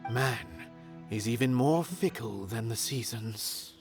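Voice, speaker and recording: A melancholy, philosophical troupe member in a fantasy game. His mid-pitched voice declaims in poetic gloom. Music plays clearly behind him. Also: animated, takes it slowly. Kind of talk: video game character acting.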